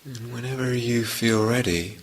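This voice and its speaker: A man leading a guided meditation as a teacher, speaking gently and in measured short phrases with long pauses. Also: medium pitch.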